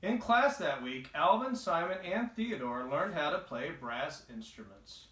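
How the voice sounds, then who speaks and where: A man's voice: on the high side, very animated, measured pace, sharp tone. A man reading a children's storybook aloud as the narrator. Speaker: storybook reader. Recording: echoey, roomy home recording.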